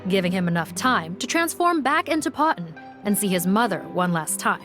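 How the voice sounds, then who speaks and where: Composed policewoman, played by an actress, formal and measured. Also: medium pitch, very animated, over music, lightly acted. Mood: measured, firm. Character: composed female police officer reporting on a case. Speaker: police officer character. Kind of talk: video game voice acting, formal report.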